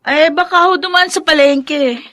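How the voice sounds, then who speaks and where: A supportive younger sister in a Filipino family drama. Her young adult voice is high, and she speaks as a loyal sibling who reasons with the family. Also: normal speed, a bit bright. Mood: evasive.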